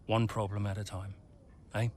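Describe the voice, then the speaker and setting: Actor as steady, tactful racing team boss. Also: male voice, lowish voice, slight background noise, lightly acted. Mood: calm reassurance. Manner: measured, sincere, persuasive. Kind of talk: naturalistic video game cutscene drama.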